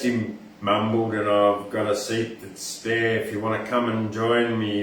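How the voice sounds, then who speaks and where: An Australian man hosting an informal poetry reading, reciting verse and rambling through chatty asides as he thinks aloud. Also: medium pitch. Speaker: poetry podcast host and reader. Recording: echoey, distant mic, faint background noise.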